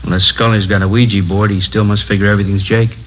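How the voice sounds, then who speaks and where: Brisk, even-toned American police captain, acted by a man. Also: on the low side, lightly acted. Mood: decisive, businesslike. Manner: matter-of-fact, authoritative. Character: brisk, decisive male police captain in charge. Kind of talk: 1950s radio drama acting. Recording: muffled old radio recording, faint noise.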